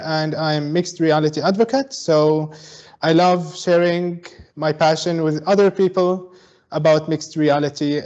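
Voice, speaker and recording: A man from Syria, a guest advocate for mixed reality, explaining step by step with many pauses and fillers over a video call. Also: fairly high pitch, a little mellow, highs cut off. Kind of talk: explanatory online talk. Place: home in Berlin.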